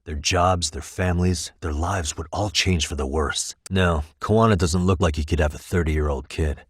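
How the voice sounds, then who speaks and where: Video game detective, low-pitched, dry and wry, sharp-minded, close dry sound. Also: male voice, normal speed, husky, no room sound. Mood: serious, deducing. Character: sharp, level-headed, wry male private detective. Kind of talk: English game voice acting, detective dialogue.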